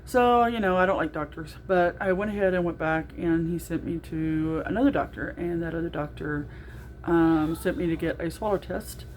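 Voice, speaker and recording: A middle-aged woman with a naturally loud voice, talking through a casual video diary with lots of ums and restarts. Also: lowish voice, steady tone, moderate pace, slight background noise, poor audio. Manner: rambling, with frequent ums and restarts. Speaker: vlogger.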